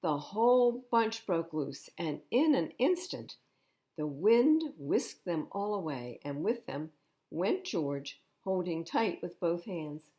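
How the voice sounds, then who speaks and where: A woman reading a picture book aloud to children at storytime, expressively, pausing to explain the pictures in simple words. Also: fairly low pitch, big pitch swings, warm tone, muffled recording. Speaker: library storytime reader.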